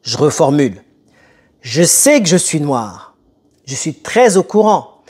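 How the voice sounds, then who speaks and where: A young man who grew up in France telling a personal story to camera in a conversational, emphatic way with dramatic pauses. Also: fairly high pitch, sing-song, darkish tone, slightly rough. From born in Italy, moved to France as a child. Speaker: motivational video host.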